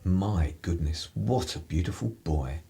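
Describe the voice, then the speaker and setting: Actor as louche man, deep, breathy husk, slow drawl, 1930s radio play. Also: dark tone, heavily acted. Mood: languid relish. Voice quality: husky. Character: louche, drawling upper-class English gentleman of the 1930s.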